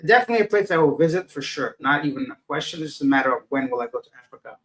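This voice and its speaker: A middle-aged man with a slightly raised pitch chats casually on a livestream, reading comments and answering them. He rambles and loses his thread, poking fun at his own foggy, tired state. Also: lively intonation, rapid-fire, fairly bright tone, poor audio. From Afghanistan. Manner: rambling, loses his train of thought, self-deprecating. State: tired and unfocused. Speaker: livestream host reading chat. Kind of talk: casual live chat.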